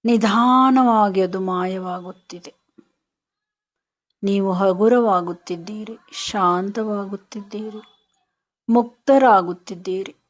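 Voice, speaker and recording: Female meditation guide, very unhurried, soothing, mid-pitched, short phrases with pauses.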